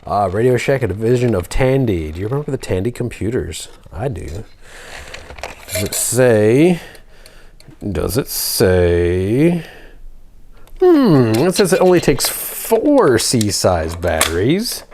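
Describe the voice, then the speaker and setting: Low-voiced man rambling over background noise. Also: very animated, takes it slowly, darkish tone. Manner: rambling, thinking aloud. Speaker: RC hobby channel presenter. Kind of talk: casual nostalgic show-and-tell of a toy truck. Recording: clear background noise with clicks.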